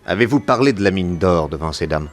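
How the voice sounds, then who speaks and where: A private detective in a radio crime drama. He has a fairly low voice and a composed, authoritative way of putting questions. Light music sits faintly underneath. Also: lively intonation, a little fast. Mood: methodical. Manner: methodical, questioning, reassuring authority. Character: Nick Carter, calm authoritative male private detective.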